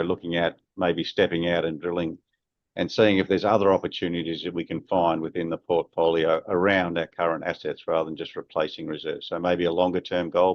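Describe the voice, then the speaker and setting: A male chief executive answers on an earnings call in a low-pitched voice. The sound is dry and slightly muffled, like band-limited conference audio. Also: no echo.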